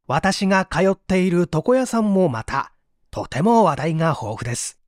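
A man reads a book aloud in a fairly high voice with lively intonation. His narration is expressive and clearly articulated, and the sound is dry and close. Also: normal speed, no echo. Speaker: audiobook narrator.

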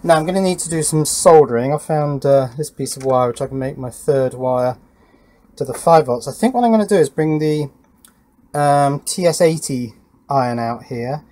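A man's voice: on the high side, normal speed, warm tone. Man thinking aloud at the workbench. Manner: informal, thinking aloud while working. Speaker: electronics hobbyist presenting his workshop project. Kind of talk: casual hands-on workbench narration. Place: small wooden garden shed workshop.

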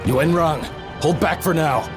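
An actor playing a commanding, magnanimous warlord in a video game, with an authoritative and measured manner. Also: male voice, fairly high pitch, music in the background, lightly acted. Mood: cool command. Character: commanding, magnanimous warlord leading his army. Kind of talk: dramatic video game cutscene acting.

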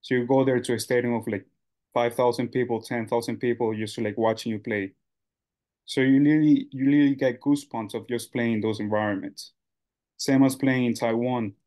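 A man's voice: medium pitch, steady tone, fairly quick, mellow. A young man from Ecuador, a baseball coach, answering interview questions in a loose, rambling way with many fillers.

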